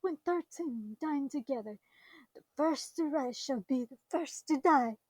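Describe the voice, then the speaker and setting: A very high, closely recorded voice of a nervous mystic woman teacher, acted by a woman. She is theatrical and superstitious, delivering audio drama lines with heavy foreboding. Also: lively intonation, a little slow, darkish tone, no room sound, poor audio. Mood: fearful foreboding. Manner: theatrical, doom-laden. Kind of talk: fan audio drama acting.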